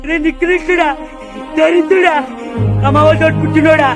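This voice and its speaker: An actor playing a scornful character who scolds and insults in a film clip. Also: male voice, extremely high pitch, flat delivery, moderate pace, music in the background. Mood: scornful scolding.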